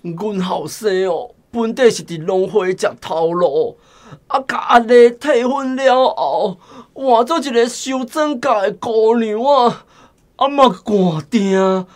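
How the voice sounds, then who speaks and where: A woman's voice: a little high, takes it slowly, a little mellow. Plaintive Taiwanese village mother. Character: grieving village mother pleading for her dead son's baby.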